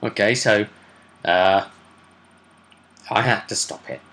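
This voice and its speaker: A man's voice: fairly low pitch, slow pace, gravelly, fairly bright tone. A man telling the story of a role-play session as game master, in an informal, slangy and mildly sweary way.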